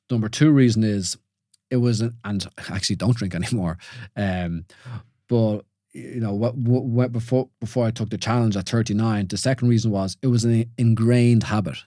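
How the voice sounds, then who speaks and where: A man's voice: on the low side, warm tone, no echo, clean studio sound. An Irish man in his forties hosting a solo podcast, talking things through informally with listeners and often asking "you know?". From Ireland. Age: 40s. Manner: informal, questioning, many restarts and "you know". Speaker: podcast host. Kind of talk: reflective solo podcast talk.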